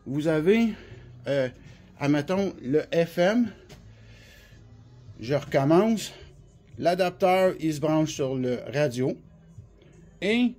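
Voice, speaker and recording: A man with a Quebec French accent and a husky voice gives an informal, colloquial product demonstration, explaining in a rambling, hands-on way. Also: a little high, warm tone. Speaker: CB radio specialist and seller presenting products.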